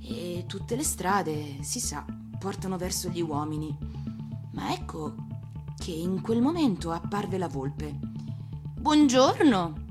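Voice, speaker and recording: A woman reading a children's tale as an actor, in expressive scripted storybook narration. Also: lowish voice, slow pace. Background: music.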